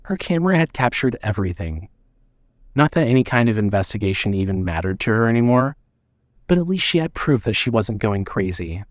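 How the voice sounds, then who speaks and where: A man reading scripted narration for an audio drama in a measured way. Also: on the low side, sing-song, no echo, studio quality.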